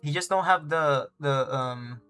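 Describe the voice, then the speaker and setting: Male game streamer, offhand and slangy, halting as he thinks aloud, faint music behind. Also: a little high, sharp tone, smooth. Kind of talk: casual game stream commentary.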